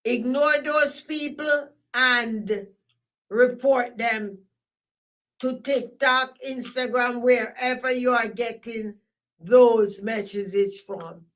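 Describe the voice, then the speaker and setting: A woman with a Jamaican accent hosting a live stream, talking loudly and emphatically and repeating herself as she signs off. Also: a little high, slow. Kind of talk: casual live stream sign-off.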